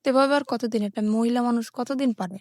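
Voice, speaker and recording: A woman's voice: on the high side, steady tone, quick pace, darkish tone, no room sound, studio quality. A woman from southern Bangladesh telling her personal story as a guest, plainly and colloquially, step by step. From Patuakhali, southern Bangladesh.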